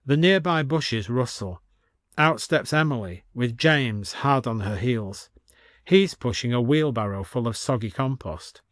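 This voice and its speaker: A man reading a scripted story as an expressive storyteller with dry comic delivery. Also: mid-range voice, measured pace, no room sound.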